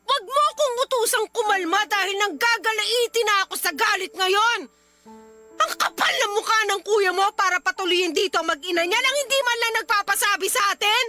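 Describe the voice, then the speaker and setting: A woman's voice: extremely high pitch, fairly quick, pushed and bright, faint music behind. A woman playing an angry young Filipino wife and mother in a radio drama, scolding emphatically and confrontationally. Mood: furious.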